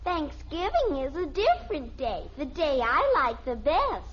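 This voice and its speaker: A woman's voice: high-pitched, huge pitch swings, unhurried, a bit bright, exaggerated voice. A young female performer playing a stubborn American little girl, singsong and whiny, in an old-time radio sitcom. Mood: singsong reciting. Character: sulky, stubborn littlest daughter reciting poetry. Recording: muffled, noisy old radio recording.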